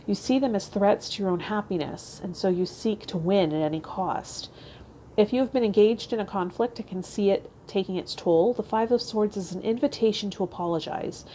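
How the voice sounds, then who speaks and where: A woman's voice: medium pitch, normal speed, dark tone, a little hiss or hum, low-quality recording. A woman hosting a tarot vlog, reading aloud and talking to her viewers. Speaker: tarot channel host. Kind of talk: reading card meanings aloud and casual vlog talk.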